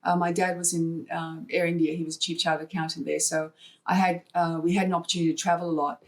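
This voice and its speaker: A woman's voice: fairly low pitch, flat delivery, fast talker. A woman from Hong Kong chatting in an interview, telling anecdotes and acting out bits of remembered dialogue. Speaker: podcast guest, artist and wildlife fundraiser. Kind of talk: conversational storytelling interview.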